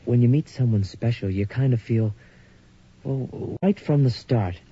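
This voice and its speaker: A man's voice: medium pitch, unhurried, dark tone, breathy edge. A stammering, awkward young guy on a date. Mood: tender. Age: young adult. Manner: hesitant, stammering. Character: nervous, earnest young man smitten on a date. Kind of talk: 1980s radio drama acting. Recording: dull older radio recording.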